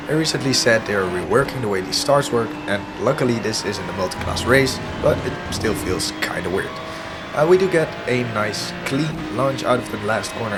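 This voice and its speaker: Hoarse man narrating sim racing. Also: on the low side. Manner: analytic, self-deprecating. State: hoarse, losing his voice. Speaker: sim racing video host. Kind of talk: commentary over gameplay footage. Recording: voice-over with music underneath. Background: background music.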